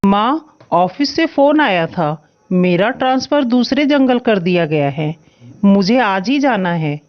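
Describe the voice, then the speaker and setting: A woman's voice: mid-range voice, animated, takes it slowly, dull audio. Woman voicing an earnest young husband crow. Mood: calm. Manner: earnest, protective, insistent. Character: caring, stubborn young husband crow, male. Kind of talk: Hindi moral-story cartoon acting.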